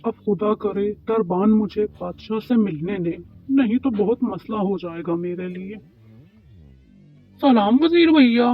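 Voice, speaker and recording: A man's voice: sits high, lively intonation, unhurried. A man playing a poor, worried, humble bread baker in an Urdu moral cartoon story, pleading and deferential. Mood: anxious, polite. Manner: pleading, deferential, talks to himself. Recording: muffled lo-fi track with music behind.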